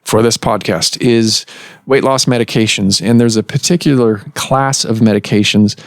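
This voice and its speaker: Low-voiced male doctor hosting a podcast. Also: vocal fry, dry recording, studio quality. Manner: measured, explanatory, with fillers. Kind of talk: conversational medical podcast. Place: clinic office.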